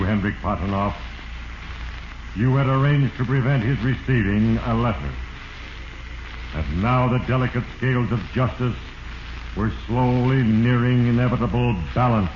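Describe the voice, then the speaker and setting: A man's voice: medium pitch, natural voice. Sinister male Fate acted by a man, portentous radio-drama narrator, roomy echo on a dull old recording. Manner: ominous, portentous, heavy-handed. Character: Fate personified, sinister, solemn male judge of human destinies. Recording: echoey, muffled, noisy 1940s radio recording.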